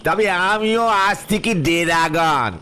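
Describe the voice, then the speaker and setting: A man's voice: fairly high pitch, slow pace, over music. A man voicing a fretful townsman in a comic cartoon. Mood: wry.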